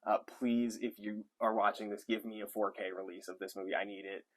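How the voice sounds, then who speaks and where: A man's voice: fairly low pitch, level tone, fairly quick. A young man giving an enthusiastic, rambling movie review off the cuff, with frequent fillers. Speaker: film reviewer. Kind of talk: casual unscripted movie review.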